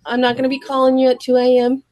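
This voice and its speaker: Female podcast guest, high-set but cold-roughened voice, animated casual storyteller, close dry sound. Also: fast, no room sound. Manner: animated storytelling, acts out exchanges. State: sick, voice deeper and more gravelly than usual. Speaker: podcast guest, small business owner who ships orders. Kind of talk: casual chat.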